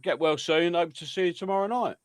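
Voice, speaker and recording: A man's voice: high-pitched, fairly quick, clean tone, dry recording. A man co-hosting a football podcast in casual chat, dry and matter-of-fact, with hesitant fillers. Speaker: podcast co-host.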